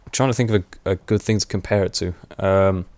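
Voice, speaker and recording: A young man giving casual, rambling commentary over gameplay, with many fillers and frequent laughs, his voice getting hoarse. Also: low voice, fast, darkish tone, no room sound. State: voice going hoarse. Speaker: gaming commentator.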